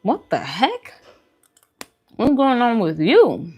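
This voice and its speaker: A woman's voice: a little high, wildly swooping pitch, slow, darkish tone, slightly rough. A woman hosting a food vlog, chatting casually to viewers in colloquial slang and repeating phrases for emphasis. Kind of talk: casual chat to viewers during a mukbang livestream.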